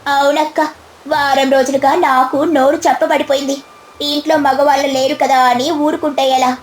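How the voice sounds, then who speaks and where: A woman voicing an eager young village daughter-in-law in a bright, insistent manner for a storybook tale. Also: sits high, little pitch movement, moderate pace, slight background noise, voice pushed up, natural voice.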